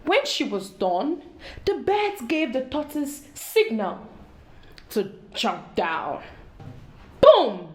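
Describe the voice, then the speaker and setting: A woman reading a story aloud to children in an expressive storytelling style, addressing young listeners directly. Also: fairly high pitch, very slow, pauses often.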